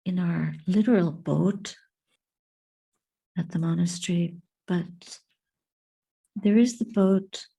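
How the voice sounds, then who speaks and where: A woman giving a guided meditation and reflection as a Buddhist teacher, speaking softly and breathily in measured, contemplative phrases with long pauses. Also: on the low side, low-quality recording. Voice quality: soft, breathy.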